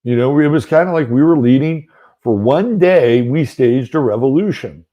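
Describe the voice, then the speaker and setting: A man's voice: mid-range voice, normal speed, lo-fi sound. A middle-aged man hosting an astrology show, telling a rambling story in a loose, conversational way full of fillers and asides. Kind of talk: casual storytelling monologue.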